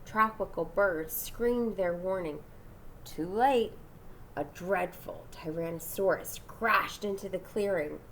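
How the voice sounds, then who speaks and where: A woman's voice: fairly low pitch, slow pace, a bit bright, slight background noise, poor audio. A woman reading a children's storybook aloud dramatically, with asides and questions to her young listeners. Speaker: storybook reader.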